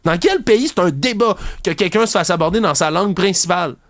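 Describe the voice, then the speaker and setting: Indignant Québécois man, higher voice, dry. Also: expressive, fairly quick, a bit bright, dry recording. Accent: Québécois French. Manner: indignant, ranting, sarcastic, mocks opponents in high voices. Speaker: commentary show host. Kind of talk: heated opinion commentary.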